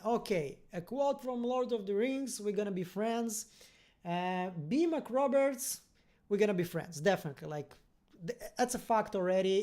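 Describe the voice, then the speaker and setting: Mellow-voiced livestreamer. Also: mid-range voice. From born in Russian-speaking Ukraine, raised from age ten in Israel. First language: Russian. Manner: friendly, rambling, halting, searching for words. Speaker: host of a fan review livestream. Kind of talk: casual livestream chat, reading viewer comments aloud. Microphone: computer-connected microphone on a livestream.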